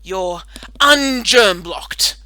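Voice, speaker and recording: An awkward, evasive schoolgirl performed by a woman in a children's audiobook. She is offhand and halting. Her voice is high and very bright, recorded dry. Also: lively intonation, no echo, heavily acted. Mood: dismissive.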